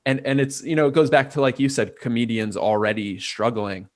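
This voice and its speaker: A man's voice: medium pitch, a little fast, dry recording, clean studio sound. A man, a guest in a casual interview, thinking aloud and weighing ideas from many angles. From Port Chester, New York. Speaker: guest, artist and designer.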